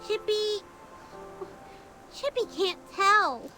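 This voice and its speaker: A woman's voice: very high voice, very slow, bright, forceful tone, faint music behind, exaggerated voice. A woman voicing a small, earnest creature sidekick in a scripted game story, speaking of themself in the third person. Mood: uneasy.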